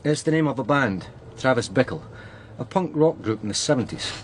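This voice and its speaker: A man's voice: mid-range voice, animated, fairly quick, slight background noise, close to an everyday voice. A seasoned Scottish police sergeant played by a man in a radio crime drama. His mid-range voice is reserved and matter-of-fact, with a faint ambience behind it. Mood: grim, matter-of-fact. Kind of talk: naturalistic radio drama acting.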